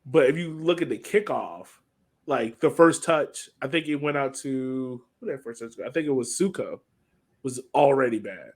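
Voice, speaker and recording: A man's voice: medium pitch, animated, normal speed. A man co-hosting a sports podcast, breaking down a soccer match in an informal way, thinking aloud as he goes.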